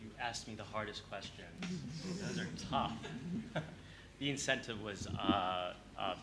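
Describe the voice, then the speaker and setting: A man's voice: lowish voice, a little slow. A man speaking as a film producer at a press conference, hesitant and jokey in his answers. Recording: noisy room ambience.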